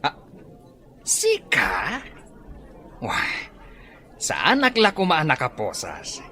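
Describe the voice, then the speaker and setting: A man's voice: medium pitch, huge pitch swings, slow pace, pushed and bright, full character voice. A man with a Filipino accent playing a brash, defiant crook in a radio drama.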